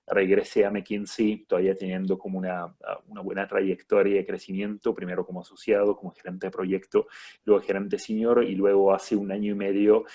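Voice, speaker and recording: A man in his thirties from Italy with an Italian accent, a guest in a live online interview, speaking in a measured way with many filler sounds. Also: lowish voice, a little mellow.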